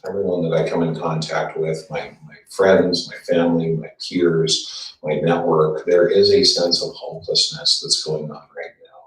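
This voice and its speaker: A middle-aged Canadian man from Alberta giving an earnest campaign self-introduction, steady but halting with fillers. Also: very deep voice. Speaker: party board candidate. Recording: echoey room, distant mic.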